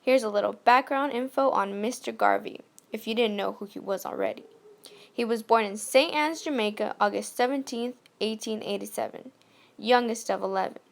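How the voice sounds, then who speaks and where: A woman playing a poised 1920s radio news anchor, reading from a script with a creaky voice. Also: on the high side, normal speed. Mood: presentational. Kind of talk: scripted amateur radio news role-play.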